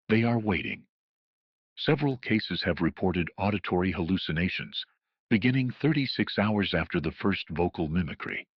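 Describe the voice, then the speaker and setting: Husky, low-voiced man reading ominous warnings. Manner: solemn, ominous, official announcer delivery. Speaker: narrator of a horror emergency broadcast. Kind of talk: scripted analog horror public warning broadcast narration. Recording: muffled lo-fi audio with roomy echo.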